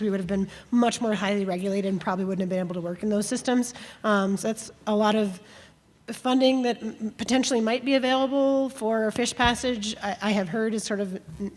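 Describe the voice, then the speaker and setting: A woman on a conference panel, answering hesitantly with many fillers. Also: mid-range voice. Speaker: panel presenter. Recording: reverberant hall.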